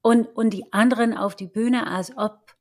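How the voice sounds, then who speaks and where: Woman with an American accent, halting German. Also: on the high side, fairly even intonation, a little mellow. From United States. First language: English. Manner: halting German with many fillers and restarts. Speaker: guest, actress. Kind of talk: casual interview, personal story.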